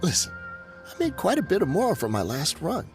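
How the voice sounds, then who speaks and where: A nervous, bargaining smuggler from a video game. His fairly high voice leaps up and down as he wheedles and dodges. Music plays clearly behind him. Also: brisk. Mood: pleading. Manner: wheedling, evasive, excitable. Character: shifty, nervous, bargaining male smuggler and ex-mercenary.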